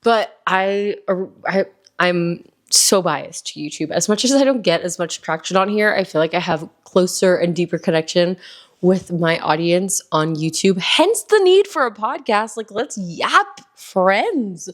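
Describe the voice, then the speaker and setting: A woman's voice: fairly low pitch, animated, a little slow. A young woman in her early twenties, from Miami, chatting casually as a solo podcast host, rambling with lots of ums and likes. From Miami, Florida.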